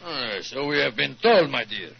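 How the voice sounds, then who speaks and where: An actor playing an imperious, theatrical Russian ambassador with a Russian accent and pompous, drawn-out delivery in the style of 1940s radio. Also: male voice, medium pitch, animated, gravelly. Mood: boisterous. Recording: dull 1940s radio broadcast.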